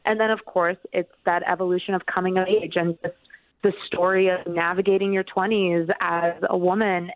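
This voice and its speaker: Woman author from colombia chatting on a radio interview, phone quality sound, kinda low voice, dry. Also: dry recording. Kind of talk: conversational interview. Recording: tinny phone-like radio line.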